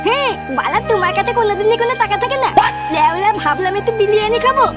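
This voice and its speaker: A performer voicing a bratty, stubborn little schoolboy in a crude comedy cartoon, with a pitched-up voice. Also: animated, fast talker. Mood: defiant. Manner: whiny, defiant, insolent. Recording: loud music behind the voice.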